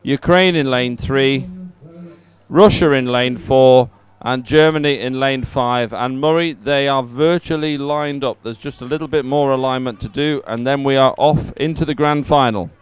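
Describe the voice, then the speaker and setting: A man hosting live sports commentary with a very smooth, clear voice at a medium pitch. He explains the running order in a measured, orderly manner.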